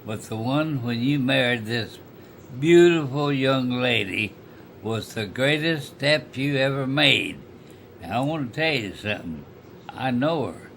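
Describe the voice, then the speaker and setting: A man, talking casually to family in a halting, rambling, folksy and playful way. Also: mid-range voice, fairly bright tone, a little hiss or hum. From Oklahoma, United States. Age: 90s. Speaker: retired preacher, grandfather of the vlogger.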